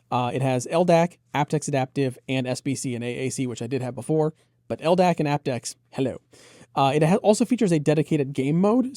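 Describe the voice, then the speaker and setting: A man's voice: medium pitch, warm tone, dry recording, studio quality. A man giving an enthusiastic, conversational product review, explaining features in detail.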